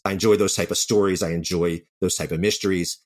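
A man's voice: sits low, steady tone, fast. A man speaking as an interview guest, a writer reflecting candidly and anecdotally on his work.

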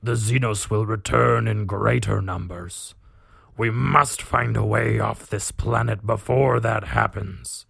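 A man's voice: fairly low pitch, steady tone, takes it slowly, creaky, fairly bright tone, slightly rough, no room sound, studio quality, exaggerated voice. A man voicing a huge wounded winged beast in a story, growling grave commands. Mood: grim urgency.

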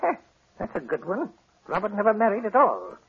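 A man's voice: high voice, wildly swooping pitch, fairly quick, exaggerated voice. An actor playing a querulous, blunt old man in a 1940s radio drama. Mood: testy. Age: older. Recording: tinny, noisy old radio recording.

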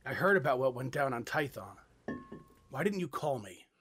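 A man playing a cocky, affectionate rebel pirate lover in an audio drama, gruff and blunt with a flirtatious edge. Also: medium pitch, big pitch swings, normal speed, a bit bright, faint music behind. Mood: cocky, challenging.

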